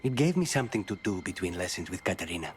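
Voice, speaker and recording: An actor playing a dry-witted, brooding assassin in a fantasy game, understated and deadpan. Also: male voice, sits low, fast talker, slight background noise. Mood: wry.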